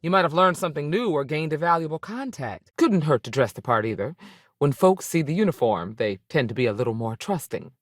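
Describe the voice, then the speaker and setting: A woman's voice: deep voice, expressive, a little fast, dry recording, studio quality. A woman playing a seasoned, firm but fair space ranger in a video game, plainspoken and authoritative, with folksy turns of phrase. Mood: firm, measured. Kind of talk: video game character dialogue.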